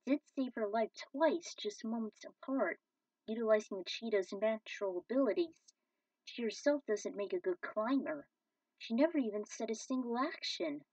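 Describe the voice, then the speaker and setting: A woman's voice: fairly high pitch, lively intonation, normal speed, a little mellow, no echo. A woman narrating and commenting on her own fan fiction as an amateur storyteller. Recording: dull, slightly distant microphone.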